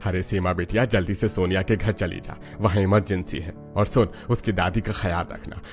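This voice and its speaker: Guy as a middle-aged dad in a cartoon story, low voice, trusting and concerned. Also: steady tone, normal speed, faint music behind, close to an everyday voice.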